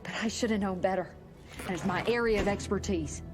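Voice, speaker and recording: A woman's voice: mid-range voice, brisk, lightly acted. An actress playing an earnest, troubled and regretful woman scientist in a video game. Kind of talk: game cutscene acting. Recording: music behind the voice.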